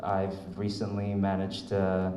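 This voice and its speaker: Low mellow man, thoughtful panelist. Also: completely flat delivery, a little slow. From Vietnam. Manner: thoughtful, reflective, many fillers. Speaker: voice actor panelist. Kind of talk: convention panel answer. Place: convention hall panel. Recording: echoey hall, faint noise.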